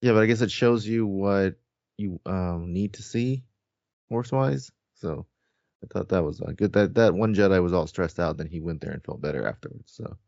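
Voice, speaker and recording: A man's voice: low voice, normal speed, creaky, a little mellow, dry recording, dull audio. A man co-hosting a casual chat, speaking hesitantly as he recalls details. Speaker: podcast co-host.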